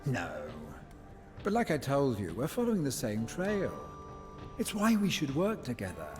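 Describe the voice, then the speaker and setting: An actor playing a shrewd rival bounty hunter in a game cutscene, talking in a knowing, persuasive way. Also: male voice, medium pitch, huge pitch swings, moderate pace, a little mellow, faint music behind. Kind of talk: video game cutscene acting.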